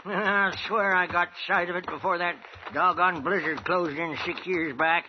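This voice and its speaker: An actor playing a folksy, stubbornly hopeful old prospector in a 1950s radio western, with an American rustic drawl. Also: male voice, steady tone, a little slow. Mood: cheerful, confident. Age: older. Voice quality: creaky, high-pitched. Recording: dull, noisy old radio recording.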